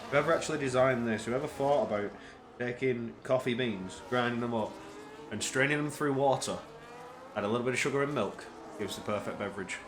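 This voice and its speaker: A man doing solo live-stream race commentary, energetic play-by-play that climbs in pitch at tense moments, with chatty asides in quiet spells. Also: brisk, reverberant, noisy background.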